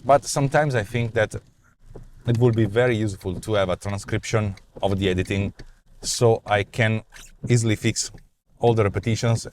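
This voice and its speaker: A man's voice: on the low side, slight background noise. A man in his forties with an Italian accent, talking off the cuff in a car about his app ideas, with lots of fillers and repeated words. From Italy. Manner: animated, gestures a lot, many repeats and fillers. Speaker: vlog host and app developer. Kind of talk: casual spoken rant. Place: inside a parked car, daytime. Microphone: clip-on lapel mic.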